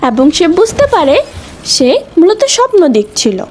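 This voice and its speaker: একজন নারী কার্টুন ভিডিওর জন্য লিখিত গল্প বর্ণনা করছেন।. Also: female voice, very high voice, big pitch swings, moderate pace, a little mellow, slight background noise. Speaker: narrator. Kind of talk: scripted story narration for a cartoon video. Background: music.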